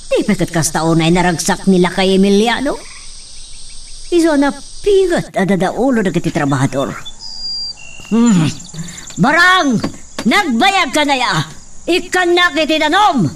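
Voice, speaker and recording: An actress playing a haughty, sharp-tongued mother who scolds her son and her maid, recorded from a distance over faint insect noise. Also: on the high side, sing-song, measured pace, long pauses. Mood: indignant. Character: stern haughty mother of the villa.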